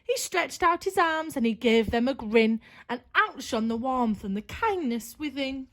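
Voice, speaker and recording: Slow, high-voiced woman storyteller. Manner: expressive, dramatic. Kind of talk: animated rhyming children's story narration.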